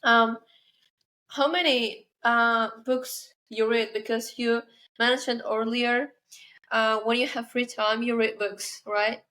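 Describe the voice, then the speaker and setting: A university-age woman hosts a casual chat with a fairly high voice. Her English is halting and full of fillers, and she agrees eagerly with her guest. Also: steady tone, bright, forceful tone, rough recording. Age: young adult. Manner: enthusiastic, halting non-native English with many fillers. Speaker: podcast host.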